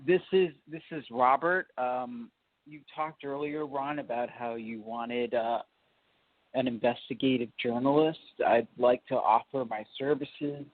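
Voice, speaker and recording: A man phoning in to a talk show, speaking politely and haltingly but persistently. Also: mid-range voice, steady tone, dry recording. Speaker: phone-in caller. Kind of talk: prank call to a talk show. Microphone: telephone. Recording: tinny telephone line.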